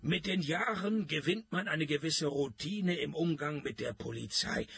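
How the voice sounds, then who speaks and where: An actor playing a weary, sardonic private detective in a radio play, with a dry, sarcastic delivery. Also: male voice, on the high side, normal speed, gravelly, a bit bright, husky, heavily acted. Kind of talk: radio play acting with first-person narration.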